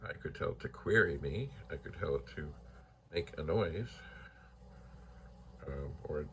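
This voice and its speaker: A soft-spoken man casually thinking aloud while testing old computer gear in his home computer room, trailing into whispered asides. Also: very deep voice, expressive, unhurried, slight background noise. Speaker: retro computer vlogger. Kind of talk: casual rambling narration while testing hardware. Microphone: phone mic.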